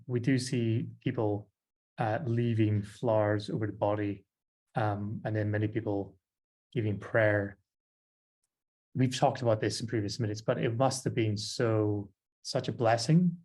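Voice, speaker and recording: Lower-voiced man hosting a podcast. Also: mellow. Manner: hesitant, filler-heavy, leading the discussion. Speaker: podcast host. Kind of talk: casual discussion.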